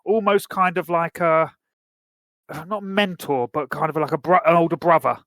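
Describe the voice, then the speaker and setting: A man's voice: fairly high pitch, steady tone, moderate pace, fairly bright tone, no echo, studio quality. A man co-hosting a podcast, discussing films informally and thinking aloud as he goes. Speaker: podcast co-host. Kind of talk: casual film discussion.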